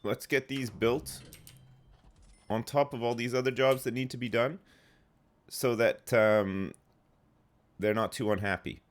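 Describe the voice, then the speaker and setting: A man's voice: medium pitch, steady tone, measured pace, long pauses. A man in his thirties streaming a game live, phlegmy and rambling as he answers chat. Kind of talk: casual live gameplay chat.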